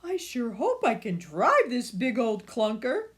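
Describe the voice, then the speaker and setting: A woman's voice: a little high, very animated, a little slow, voice pushed up. A woman voicing a plucky, hearty grandmother in a children's story. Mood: hollering. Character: plucky, hearty grandma taking on a big job.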